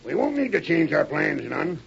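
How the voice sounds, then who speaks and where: A man playing a domineering, angry outlaw gang boss in an old radio western, barking threats in rough American cowboy speech with a gravelly voice. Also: a little high, big pitch swings, moderate pace, a crowd in the background, heavily acted. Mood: commanding. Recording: dull, lo-fi old radio recording.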